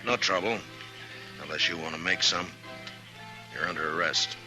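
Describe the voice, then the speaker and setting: A man's voice: deep voice, moderate pace, close to an everyday voice. A man acting a stern, dry American frontier marshal in a 1950s radio western, with a curt, commanding way of talking. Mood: hard, commanding. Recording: 1950s radio recording with music.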